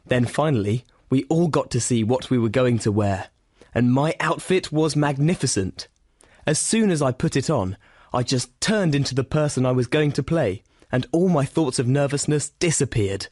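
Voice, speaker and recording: Man, mid voice, steady enthusiastic exam read, dry studio. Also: mid-range voice, moderate pace, dry recording. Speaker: exam recording speaker. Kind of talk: scripted monologue reading.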